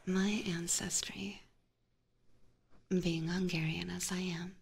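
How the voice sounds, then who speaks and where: A woman's voice: lowish voice, flat delivery, gravelly, background noise. A woman voicing a seductive, teasing vampire catgirl in an ASMR roleplay, whispering breathily and coaxingly right up close to a binaural microphone. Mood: playful, teasing. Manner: slow, coaxing, playfully menacing. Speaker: streamer playing a character for live chat.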